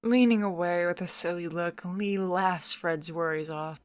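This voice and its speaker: A woman reads scripted story narration. Her pitch sits on the low side for a woman, and the recording is close and dry, with no room around it. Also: steady tone, unhurried, a little mellow, dry recording.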